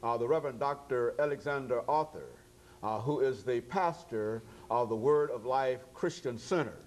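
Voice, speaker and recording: A man's voice: medium pitch, moderate pace, a little mellow. A man hosting an interview show, introducing his guest in a formal way with frequent hesitations. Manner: formal, hesitant, many uh fillers. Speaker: talk show host.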